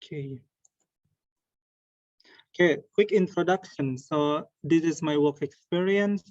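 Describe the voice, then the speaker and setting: A young man from Indonesia giving an earnest online presentation over a video call, with pauses and restarts. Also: fairly high pitch, a little mellow, no echo. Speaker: webinar presenter. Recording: dull lo-fi call audio.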